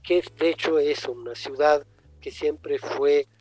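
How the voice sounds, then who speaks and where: An older man with a husky, mellow voice who speaks Spanish as a second language, his first being Italian. He answers interview questions thoughtfully, pausing and circling back as he searches for words. Soft music plays faintly behind him. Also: on the high side, fairly even intonation, dry recording, low-quality recording. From born in Egypt, raised in Italy. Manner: reflective, pauses and restarts often. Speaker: writer guest.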